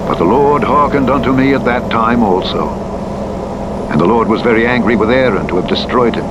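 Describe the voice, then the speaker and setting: A man giving a solemn, declamatory reading of scripture as a Bible narrator, as if played on a radio. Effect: played as if on a truck radio. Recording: muffled audio under loud vehicle noise. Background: loud engine rumble of trucking game.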